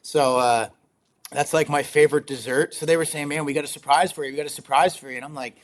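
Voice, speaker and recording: A young man with a slightly raspy voice, talking casually as an interview guest, rambling with lots of fillers and frequent laughs. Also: a little high, fairly even intonation, fast. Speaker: guest, ultrarunner.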